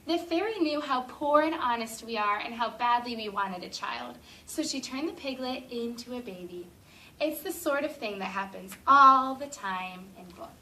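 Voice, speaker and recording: A poor but honest farmer's wife , read by a woman teacher, explains earnestly and hopefully. Her voice is bright and a little high. It is heard from across a classroom, with faint noise. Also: expressive, moderate pace, distant mic.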